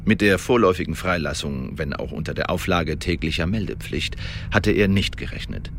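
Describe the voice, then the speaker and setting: An actor playing an Elizabethan secret agent who gives a precise, measured official report in a radio drama monologue. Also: male voice, rumbling low, animated, gravelly, a bit bright, slight background noise, heavily acted. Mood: careful, narrating.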